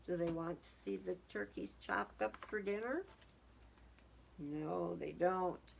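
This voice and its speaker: A woman's voice: lowish voice, takes it slowly, vocal fry, a little hiss or hum. A woman reading a picture book aloud to young children, dramatic in the story and casual in asides that put questions to the listeners.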